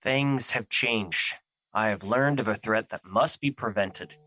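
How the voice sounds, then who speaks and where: A man's voice: fairly low pitch, measured pace, sharp tone, dry recording. A man acting in an audio drama as a grave, brooding personified emotion who guards life, speaking in formal theatrical diction. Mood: urgent.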